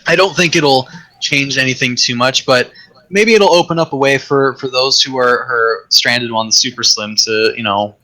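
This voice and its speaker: A man chatting as a guest on a tech podcast, rambling with restarts, his voice getting raspy. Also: medium pitch, moderate pace, sharp tone, rough recording. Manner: rambling, many restarts and fillers. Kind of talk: casual tech chat.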